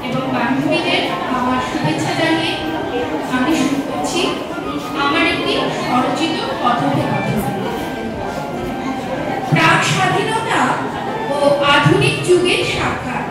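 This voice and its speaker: Very high-voiced woman in heavy echo. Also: animated, a little slow, pauses often. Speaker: workshop participant. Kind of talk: formal introduction and scripted dialogue recital. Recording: very echoey, distant mic, loud music behind.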